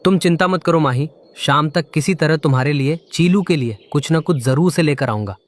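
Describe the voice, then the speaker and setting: A man's voice: fairly high pitch, normal speed, close to an everyday voice. A man voicing a predatory hawk, a reassuring husband and father, in a Hindi children's cartoon.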